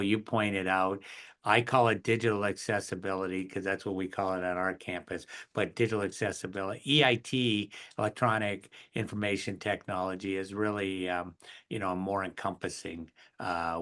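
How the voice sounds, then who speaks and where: A man's voice: low-pitched, little pitch movement, normal speed, fairly bright tone. A man leading an online session, talking casually and affirming others. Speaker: session organizer. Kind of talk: casual meeting chat.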